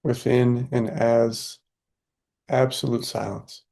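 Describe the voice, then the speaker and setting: A man leading a guided meditation in a calm, unhurried, soothing way, speaking softly and leaving long pauses. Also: mid-range voice, gravelly.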